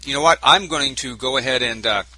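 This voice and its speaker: A man's voice: medium pitch, brisk, sharp tone, poor audio. A man hosting a talk radio show, chatting casually with many fillers and restarts, with a sore throat. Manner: conversational, with frequent fillers and restarts.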